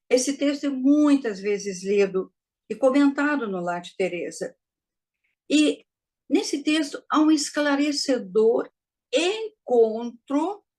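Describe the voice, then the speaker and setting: Woman lecturer with a slightly high voice, unhurried, measured delivery with rhetorical questions. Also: darkish tone. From Brazil. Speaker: spiritist lecturer. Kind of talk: didactic talk to an audience.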